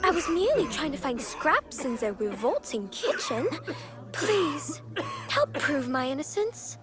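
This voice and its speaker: A woman's voice: high voice, sing-song, a little slow. A woman voicing a sly orphan girl who plays the innocent in a theatrical, wheedling way in a video game. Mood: plaintive, wheedling. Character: sly orphan girl accused of poisoning, playing the innocent victim. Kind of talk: video game cutscene acting. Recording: game music behind the voice.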